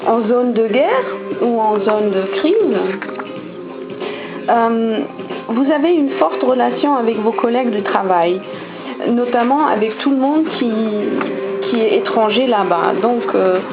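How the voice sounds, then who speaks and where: A woman's voice: fairly high pitch, expressive, a little slow, phone-quality sound, music in the background. Hesitant woman. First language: German. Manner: hesitant, many fillers and restarts. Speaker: vlogger. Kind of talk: casual storytelling to camera.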